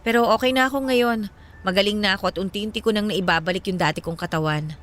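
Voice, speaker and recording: A woman's voice: mid-range voice, fast talker, fairly bright tone, faint music behind, natural voice. A woman playing a guarded, earnest ex-girlfriend in a radio drama, firm and matter-of-fact, with a Filipino accent.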